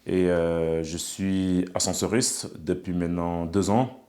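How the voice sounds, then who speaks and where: A man in his thirties from Senegal who works as an elevator technician, speaking haltingly in a documentary interview and stuttering on small words. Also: deep voice, little pitch movement, a little mellow. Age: 30s.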